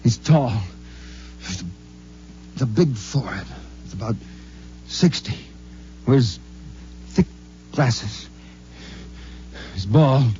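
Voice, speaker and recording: Groggy, slow-talking captive private eye. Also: male voice, mid-range voice, expressive, warm tone. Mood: pained, halting. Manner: halting, groaning, gasping protests. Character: groggy, defiant captured private investigator, rattled but stubborn. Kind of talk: 1950s radio drama acting. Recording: dull old radio broadcast with music.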